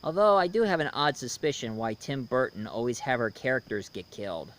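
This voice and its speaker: A man in his thirties giving a casual, unscripted review as the host, haltingly, often searching for words and repeating himself. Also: medium pitch, animated, a bit bright, rough recording.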